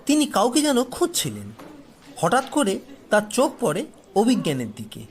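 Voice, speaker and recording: High-voiced, expressive male storyteller. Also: normal speed. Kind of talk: dramatic scripted horror story narration. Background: faint background music.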